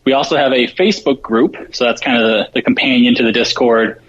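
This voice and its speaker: A man's voice: mid-range voice, fairly even intonation, fairly quick, fairly bright tone. A man talking as a guest, casually explaining what resources his program offers, over dull call audio. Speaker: show guest, program organizer. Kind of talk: casual informational talk.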